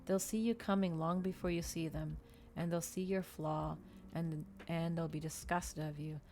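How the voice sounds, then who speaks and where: A woman's voice: low-pitched, fairly even intonation, normal speed, a little mellow, faint music behind. A woman voicing a cold, mocking, seductive male vampire who lectures and commands, in dramatic audiobook dialogue. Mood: coolly persuasive. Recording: noticeable background noise.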